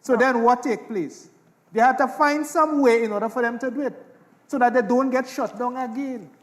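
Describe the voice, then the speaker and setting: A man acting in a stage play as a measured, persistent debater, with a Trinidadian accent and a deliberate, repetitive way of explaining. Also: very high voice. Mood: explanatory.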